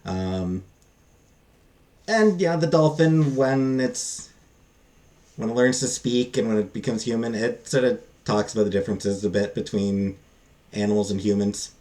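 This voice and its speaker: Hesitant, slightly low-voiced man reviewing casually. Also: warm tone. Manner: hesitant, halting, rambling; self-deprecating. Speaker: book reviewer. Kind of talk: casual unscripted book review.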